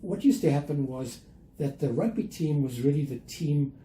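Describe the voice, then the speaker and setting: Older South African man, dark voice. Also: a little high, animated, roomy sound, slight background noise. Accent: South African English. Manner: measured, hesitant with many fillers and restarts. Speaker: guest. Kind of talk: conversational interview.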